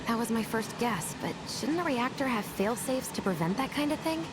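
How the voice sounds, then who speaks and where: An earnest young female rebel voiced by an actress in a video game, with a fairly high voice. She sounds thoughtful and inquisitive over loud vehicle noise. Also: expressive, moderate pace, lightly acted. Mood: troubled, puzzled.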